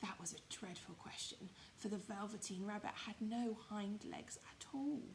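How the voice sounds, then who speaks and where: A woman's voice: mid-range voice, moderate pace, a little hiss or hum. A woman reading a children's story expressively, with emphatic, dramatised phrasing. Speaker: primary school storyteller.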